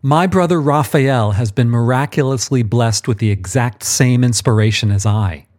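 Imperious male archangel boss, condescending and self-important, acted by a man pitched up and pushy. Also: normal speed, darkish tone, natural voice. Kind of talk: audiobook character voice.

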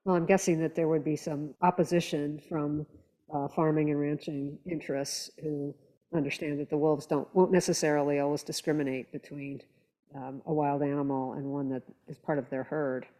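A woman's voice: low voice, flat delivery, normal speed, warm tone, low-quality recording. A woman speaking as a podcast panelist in conversational discussion, with thoughtful, hesitant phrasing.

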